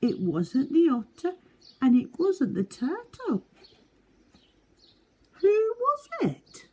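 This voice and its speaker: A small, eager young beaver from a children's storybook, acted out by a woman. The voice leaps high and swings about with earnest, childlike friendliness and a touch of loneliness. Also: huge pitch swings, takes it slowly, warm tone, exaggerated voice. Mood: puzzled, questioning. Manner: exclaiming, earnest, childlike questioning.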